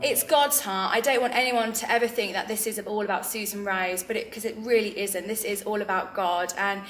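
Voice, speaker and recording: A woman's voice: medium pitch, fairly even intonation, fairly quick, fairly bright tone, faint music behind. A young woman from England with a Midlands accent, earnestly reflecting in an interview with frequent ums. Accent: English Midlands. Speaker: founder of a drama ministry. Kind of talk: interview testimony. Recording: roomy echo.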